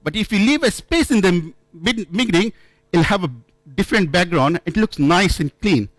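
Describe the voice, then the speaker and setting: A man from India speaks as he tells stories at a conference, often restarting and correcting himself. His voice sits a bit high. The sound is dry, with little room echo. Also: animated, a bit bright. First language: not English. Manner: halting, frequent restarts and self-corrections, storytelling. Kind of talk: conference talk.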